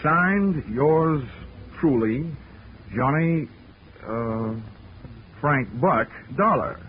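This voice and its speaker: A man's voice: a little high, expressive, drawn-out words, background noise. An actor playing a wisecracking male insurance investigator, narrating in a dry, wry, hard-boiled way in a 1940s radio drama. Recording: tinny 1940s radio broadcast with music.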